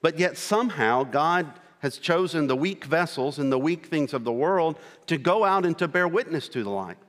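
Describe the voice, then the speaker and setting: A middle-aged man with a rural American accent preaching a sermon as a missionary, earnest and slow, with pauses between short phrases. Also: a little high, expressive, a bit bright. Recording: long reverb of a large hall.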